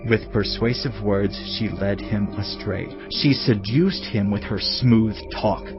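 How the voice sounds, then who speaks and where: A man giving a dramatised Bible narration as a solemn, admonishing fatherly teacher. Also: mid-range voice. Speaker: scripture narrator. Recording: slightly muffled, music bed behind. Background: background music.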